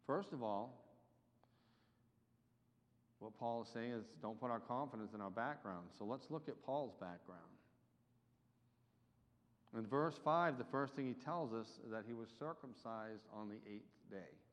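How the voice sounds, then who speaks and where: A man preaching a sermon, with emphatic delivery that swells and drops in loudness. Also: medium pitch, measured pace, pauses often. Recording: dull reverberant hall recording.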